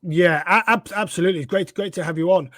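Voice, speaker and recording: A man's voice: high voice, fast, a bit bright. A man hosting a podcast, asking questions in a casual way and often stumbling over and repeating his words. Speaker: podcast host. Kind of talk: casual interview chat.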